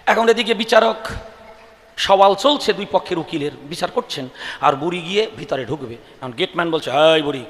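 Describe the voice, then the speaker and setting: A man telling comic folk stories on stage in an animated style. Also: high-pitched, moderate pace. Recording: echoey hall sound system.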